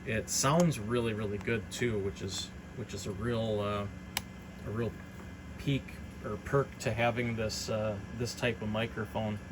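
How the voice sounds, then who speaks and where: A man's voice: on the low side, fairly even intonation, measured pace, background noise. A man giving an informal, unscripted hobbyist product demonstration in a rambling, matter-of-fact way. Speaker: hobbyist radio reseller presenting a demonstration.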